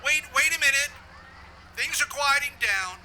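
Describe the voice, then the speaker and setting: Man playing high-voiced sergeant amid crowd noise. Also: animated, normal speed. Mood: unbothered. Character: unhurried, obtuse police sergeant on a telephone. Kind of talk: 1950s radio drama acting. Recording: distant voice over noisy crowd.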